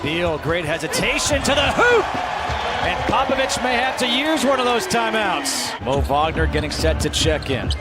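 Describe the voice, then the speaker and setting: High-pitched basketball play-by-play man. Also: big pitch swings, moderate pace, loud music behind the voice. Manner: clipped running calls, rising on big plays. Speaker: play-by-play announcer. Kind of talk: live basketball commentary. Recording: arena crowd and court noise behind commentary. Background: busy basketball arena, bouncing ball.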